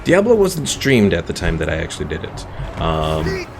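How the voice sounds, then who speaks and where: A man's voice: low voice, huge pitch swings, moderate pace, background noise. A man streaming a strategy game, giving his units orders aloud and answering chat questions in a casual way. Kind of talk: casual live gameplay commentary. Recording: game music over the voice. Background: game music.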